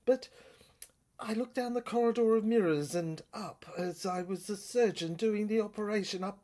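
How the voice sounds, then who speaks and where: A woman's voice: medium pitch, moderate pace, warm tone. A woman giving a dramatic reading of an experimental audio screenplay in short, broken, theatrical phrases.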